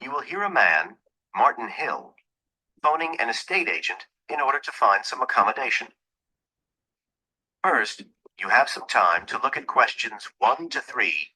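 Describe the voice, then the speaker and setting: A man's voice: mid-range voice, very animated, unhurried, sharp tone, husky, rough recording. A man reading scripted listening test instructions in a formal, instructional way. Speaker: exam narrator.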